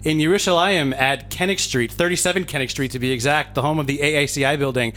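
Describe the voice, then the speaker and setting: Hoarse host dude, kinda high voice, eager and chatty, lots of ums, handheld mic. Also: male voice, quick pace, fairly bright tone. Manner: enthusiastic, many fillers. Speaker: podcast host. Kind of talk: casual interview, hosting. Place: indoor gathering room. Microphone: handheld microphone.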